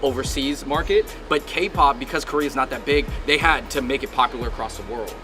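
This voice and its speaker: A man's voice: mid-range voice, a little fast, over music. A man hosting a food show, chatting casually and presenting on camera.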